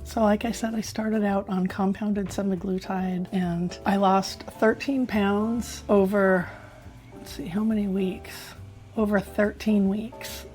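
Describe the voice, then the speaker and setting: A woman in her fifties with a hoarse voice, talking candidly to camera in a personal health vlog. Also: mid-range voice, steady tone, takes it slowly, a little mellow, faint music behind. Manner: candid, chatty, self-reflective. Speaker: health vlogger.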